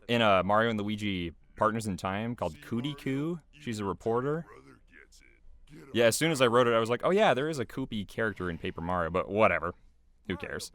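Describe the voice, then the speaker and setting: A man's voice: lowish voice, fairly bright tone. A man giving casual, unscripted behind-the-scenes commentary in a rambling, hesitant way.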